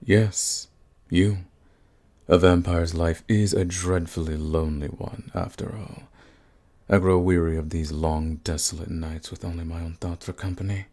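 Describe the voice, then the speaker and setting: An actor playing a suave, sadistic vampire lord in an ASMR roleplay, with a theatrical, silky, condescending manner and a husky, gravelly voice. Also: male voice, sits low, steady tone, mellow. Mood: smooth, bargaining. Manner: theatrical, silky, condescending endearments, drawn-out phrasing. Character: suave sadistic male vampire lord, predatory, mocking, possessive.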